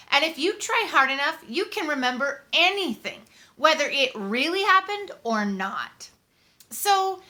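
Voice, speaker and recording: A woman reading a story aloud in the first person, conversational and expressive, leaning on key words for dramatic emphasis. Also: sits high. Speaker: audiobook reader.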